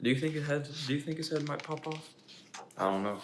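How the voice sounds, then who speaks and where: A man's voice: fairly high pitch, animated, fast talker. A young man casually reading a children's picture book aloud and adding his own asides. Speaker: storybook reader. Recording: echoey room, faint background noise.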